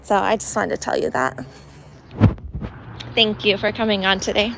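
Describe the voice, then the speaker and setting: A woman's voice: mid-range voice, expressive, moderate pace, creaky, background noise. A woman hosting an online group session, speaking emotionally and effusively. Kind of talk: casual chat. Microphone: online audio call.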